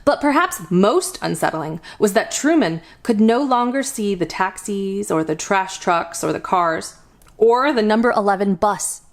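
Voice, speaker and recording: A woman hosting a children's storytime, reading a picture book aloud in an expressive, deliberate storytelling manner. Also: mid-range voice. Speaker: children's storytime host and reader.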